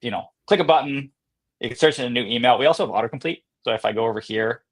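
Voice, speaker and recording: A man speaking as an interview guest, explaining and demonstrating software in a casual, conversational style. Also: medium pitch, rapid-fire. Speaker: podcast guest, company co-founder and CEO.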